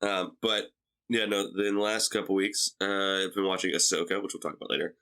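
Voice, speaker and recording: A man's voice: low-pitched, flat delivery, brisk, pushed and bright, clean tone. A young man co-hosting a podcast, sounding stuffy from a head cold, casual with dry jokes. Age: young adult. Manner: dry, joking, laid-back. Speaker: podcast co-host. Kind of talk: casual chat between brothers.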